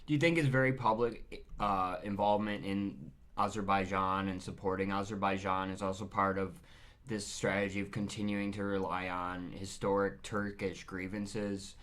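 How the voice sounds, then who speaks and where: A man's voice: deep voice, fairly even intonation, normal speed, a bit bright. A man working as an interviewer, putting a long prepared question to a guest. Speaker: interviewer, editor.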